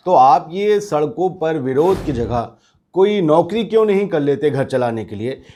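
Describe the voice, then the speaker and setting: A man's voice: on the high side, lively intonation, normal speed, warm tone, slight background noise, natural voice. A man acting as a reasonable office worker in a satirical drama, questioning and challenging in an earnest, sardonic way. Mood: reasoning. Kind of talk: scripted satirical dialogue.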